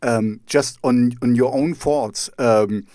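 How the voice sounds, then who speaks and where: A man's voice: medium pitch, slow, darkish tone, no room sound. A man from East Germany with a German accent, a native German speaker, hosting a casual interview in a rambling, hesitant way as he circles around his questions. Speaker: radio talk show host.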